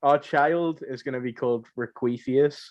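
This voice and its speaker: Young male podcast co-host, average pitch. Slangy, repetitive, rambling and jokey, casual throughout. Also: fairly even intonation, normal speed, a little mellow. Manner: joking, rambling, slangy, repeats himself. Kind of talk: casual banter.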